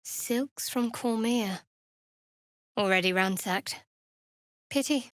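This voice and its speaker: An actress playing a guarded, devout young woman cleric in a video game, with a wry, measured delivery. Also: on the high side, no echo, studio quality. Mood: dry, muttering. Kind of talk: video game character voice acting.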